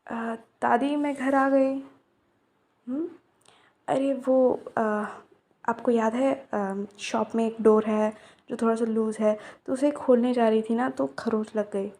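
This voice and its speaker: A woman voicing a cheerful, curious young woman in an ASMR roleplay. She speaks softly and gently, mixing in English words. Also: on the high side, steady tone, slow. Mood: reassuring. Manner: soft-spoken, gentle, mixes in English. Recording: roomy echo with long reverb.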